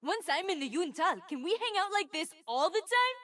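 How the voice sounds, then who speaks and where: Woman voicing an eager high-pitched young boy mage. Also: normal speed, distant mic, exaggerated voice. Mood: hopeful, earnest. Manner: excitable, earnest, childlike. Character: eager, sweet, boastful twelve-year-old boy fire mage. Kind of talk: video game character voice lines.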